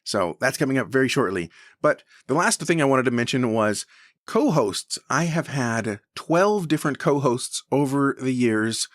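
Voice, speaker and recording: A man hosts a podcast in a conversational style, his voice sitting at an average pitch. He comes across as enthusiastic about what he presents. The sound is dry, with very little room on it. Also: lively intonation, normal speed, no room sound. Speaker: podcast host.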